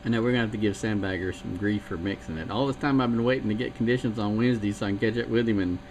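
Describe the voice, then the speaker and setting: A man's voice: fairly low pitch, rapid-fire. A man from South Carolina checking in on a CB radio net, rambling in a casual, chatty way and laughing at himself. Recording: noisy CB radio.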